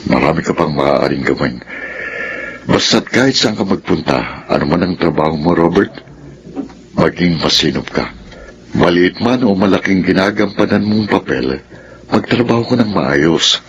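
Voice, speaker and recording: Deep-voiced, earnest Filipino father. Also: animated, normal speed, lo-fi sound. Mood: caring, earnest. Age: middle-aged. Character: caring middle-aged father giving earnest advice. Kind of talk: Filipino radio drama acting. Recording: clearly noisy radio drama track.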